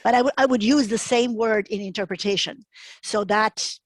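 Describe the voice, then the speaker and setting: A woman's voice: a little high, moderate pace, no room sound. A woman whose native language is Serbo-Croatian, a trainee interpreter cautiously explaining herself on a video call.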